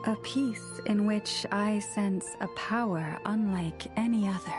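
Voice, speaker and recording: A woman playing a young moon priestess in a video game, solemn, gentle and earnest, speaking in a measured, formal, reverent way. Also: mid-range voice, over music, natural voice. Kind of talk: video game cutscene acting.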